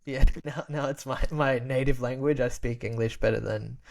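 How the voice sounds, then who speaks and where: A man's voice: medium pitch, fairly even intonation, moderate pace, gravelly, a little mellow. A young man from Australia, a podcast guest who grew up speaking Albanian, chatting casually with many restarts and frequent laughs.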